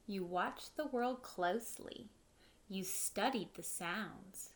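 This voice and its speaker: A woman's voice: mid-range voice, slow, a little hiss or hum. A woman in her thirties reading a picture book aloud as a teacher, expressively, adding simple explanations for children. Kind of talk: children's read-aloud with short explanatory asides. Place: living room at home, indoors.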